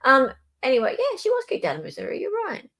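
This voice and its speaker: A woman's voice: high-pitched, huge pitch swings, fast talker, fairly bright tone. A woman hosting a casual live legal commentary chat, talking informally and directly to her viewers. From Australia. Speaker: livestream host.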